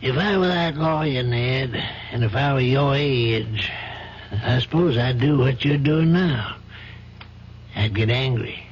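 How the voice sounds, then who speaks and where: An actor playing a calm, patient older judge in a radio drama, speaking in a measured, fatherly way. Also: medium pitch, close to an everyday voice. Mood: reflective. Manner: measured, fatherly, gently probing. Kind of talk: 1970s radio drama acting. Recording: dull old radio broadcast, faint hiss.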